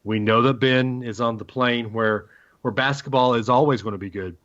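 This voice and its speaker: A man's voice: mid-range voice, fairly even intonation, moderate pace, fairly bright tone. Guy hosting a sports podcast, mid-range voice, casual banter, kinda muffled lo-fi sound. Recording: dull lo-fi podcast audio.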